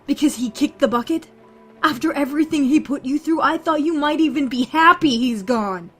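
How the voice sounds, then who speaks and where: A woman playing a hot-tempered, foul-mouthed, skeptical survivor in an audio drama, biting and confrontational, with a husky voice. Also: sits high, moderate pace, fairly bright tone, faint music behind. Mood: scornful. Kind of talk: fan audio drama acting.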